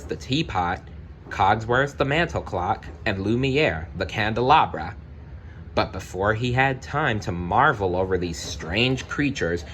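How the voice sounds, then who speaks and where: A man reading a children's story aloud, with expressive, deliberate narration and the odd personal aside. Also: fairly low pitch, a little hiss or hum. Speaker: storybook reader.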